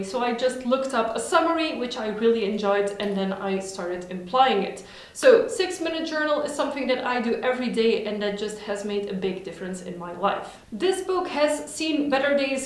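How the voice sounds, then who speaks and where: A woman who speaks Dutch as her first language, chatting upbeat and enthusiastic in a casual vlog at home. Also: on the high side. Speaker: vlogger. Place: home room. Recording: very echoey room.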